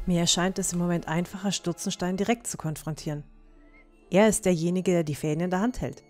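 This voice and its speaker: A stern young fantasy heroine, acted by a woman with a slightly low voice. She sounds firm and decisive, sure of right and wrong, as soft music plays behind her. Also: steady tone, fairly quick, close to an everyday voice. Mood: resolute. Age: young adult. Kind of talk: fan-made fantasy audio drama acting.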